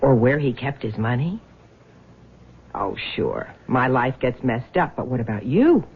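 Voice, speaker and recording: An actress in a radio drama playing a bitter, grasping crook's widow with a biting, sarcastic way of talking. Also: female voice, a bass voice, sing-song, unhurried, husky, exaggerated voice. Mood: bitter, threatening. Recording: muffled phone-like old radio broadcast.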